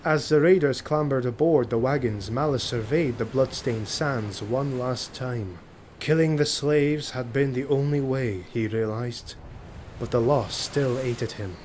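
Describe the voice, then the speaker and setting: Man narrating a dark fantasy audiobook. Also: mid-range voice, unhurried, a little hiss or hum. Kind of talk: dramatic scripted fantasy narration. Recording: faint ambient sound effects behind voice. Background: ambience and sound effects.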